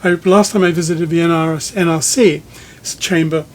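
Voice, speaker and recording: Higher-pitched man interviewing, measured. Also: steady tone. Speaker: magazine editor interviewing a speaker designer. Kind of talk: conversational technical interview. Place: listening room in a home.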